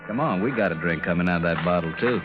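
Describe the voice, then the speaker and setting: Man as low-voiced, hard-bitten Texas trail boss. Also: fast talker, over loud music, close to an everyday voice. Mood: gruffly friendly. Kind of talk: 1950s radio western acting. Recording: dull, noisy vintage radio recording.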